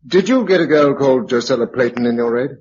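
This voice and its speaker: A man's voice: mid-range voice, expressive, fast, a little mellow, slightly rough. An actor playing a sober, practical man held captive against his will, speaking tersely in a mid-century British radio drama. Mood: resentful, guarded. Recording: dull, hissy old radio recording.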